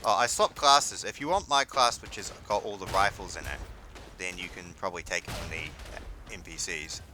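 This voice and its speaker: A man's voice: deep voice, moderate pace, background noise. A young man with a New Zealand accent giving casual gameplay commentary and chatting. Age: young adult. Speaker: host of a gaming video. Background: faint game gunfire and artillery.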